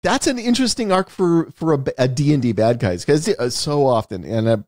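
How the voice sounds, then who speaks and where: A man's voice: fairly high pitch, expressive, brisk, darkish tone, no room sound, studio quality. A man co-hosting a casual film discussion, explaining his points emphatically and often rephrasing them. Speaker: podcast co-host discussing films.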